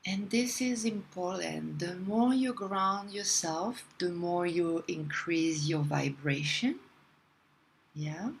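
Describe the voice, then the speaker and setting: A woman guides a meditation at an extremely slow pace, with long pauses between phrases. Her voice sits a little low, and her delivery is soothing and deliberate. There is clear ambient noise behind her.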